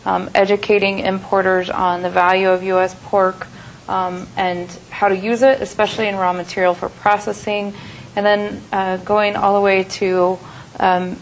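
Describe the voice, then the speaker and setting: An American woman giving a businesslike conference talk, often pausing on "um". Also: medium pitch, a little hiss or hum, rough recording. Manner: businesslike, many "um" fillers. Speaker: trade presenter. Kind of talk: conference presentation. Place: conference hall at a trade expo.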